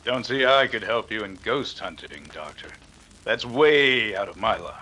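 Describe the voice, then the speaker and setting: Cool, bright-voiced skeptical detective played by a man. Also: on the low side, lively intonation, moderate pace. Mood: dry, guarded. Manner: dry, precise. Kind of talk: 1930s radio drama acting.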